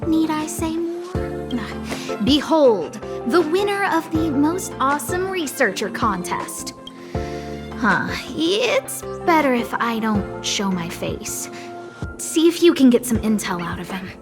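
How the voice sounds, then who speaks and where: High girlish young researcher. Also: slow pace, full character voice. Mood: suspicious, sardonic. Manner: expressive, dramatic, slightly haughty. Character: worried, bossy, cutesy young female lead researcher. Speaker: voice actor in a video game. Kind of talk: animated game character dialogue. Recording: studio voice over soft game music.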